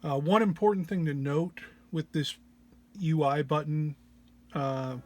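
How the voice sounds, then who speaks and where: A man who sounds under the weather gives an informal tutorial walk-through in a low-energy, hesitant, halting way. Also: soft background music. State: under the weather, often clearing throat. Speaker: presenter of a music effects patch walk-through.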